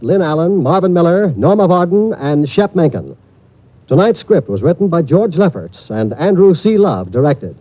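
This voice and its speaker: Old-time radio announcer guy, lively bouncy delivery, a bit high, muffled. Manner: smooth formal announcer delivery. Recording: dull lo-fi old radio transcription.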